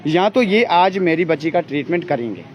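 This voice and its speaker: A man's voice: on the high side, lively intonation, slight background noise. A man and father pleading urgently outdoors about his sick baby's treatment, in a news interview. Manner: urgent, pleading, rapid complaints. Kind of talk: distressed street interview. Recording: dull lo-fi outdoor news audio.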